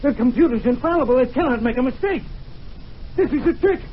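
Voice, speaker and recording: Cornered radio villain, very high-pitched, panicky and insistent, muffled hissy vintage recording. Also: male voice, animated, brisk. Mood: panicked disbelief. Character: frantic cornered male villain, obsessed with his computer. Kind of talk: old radio drama acting. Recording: muffled old radio, faint hiss.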